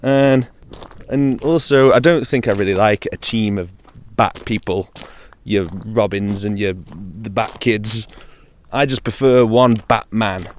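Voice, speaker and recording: A man's voice: on the low side, unhurried, slight background noise. A man with a British accent chatting informally on his own about games, in a dry, wry, jokey manner. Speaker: video game vlogger.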